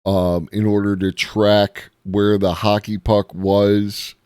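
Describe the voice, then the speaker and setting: A man streaming live, reacting to a video in a musing, halting way with many ums. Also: lowish voice, level tone, warm tone, clean tone, no echo, clean studio sound.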